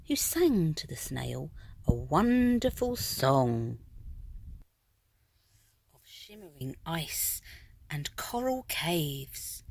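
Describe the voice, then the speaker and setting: A woman reading a rhyming children's picture book aloud in a dramatic way, at times dropping to a hush and drawing words out. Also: deep voice, gravelly, husky. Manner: dramatic, with hushed whispered phrases and drawn-out words. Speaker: storybook reader. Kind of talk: expressive children's picture-book narration in rhyme.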